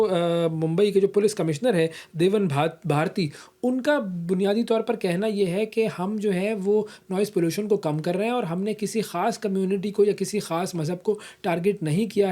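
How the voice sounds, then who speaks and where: Mellow-voiced Pakistani man hosting news. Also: high voice. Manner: conversational, explanatory, with repeated filler phrases. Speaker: news show host. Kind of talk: news analysis presented to viewers.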